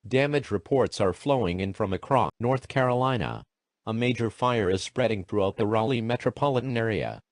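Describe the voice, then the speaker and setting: Low, dry male emergency alert announcer. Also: normal speed, dry recording.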